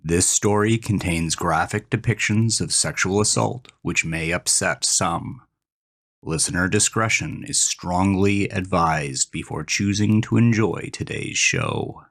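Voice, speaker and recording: A man with a low voice narrates scripted horror fiction. He takes it slowly and deliberately, with dramatic intensity, as the show's host. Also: gravelly, a bit bright.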